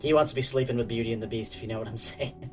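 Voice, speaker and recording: A man's voice: medium pitch, racing, far from the mic. A man co-hosting a podcast with casual, crude, joking banter and plenty of swearing. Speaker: podcast co-host. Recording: slightly off-mic, music behind.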